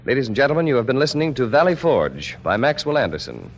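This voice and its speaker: A man's voice: medium pitch, big pitch swings, quick pace, slight background noise. An American man announcing a radio show in a formal 1940s style. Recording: dull 1940s radio broadcast, music behind.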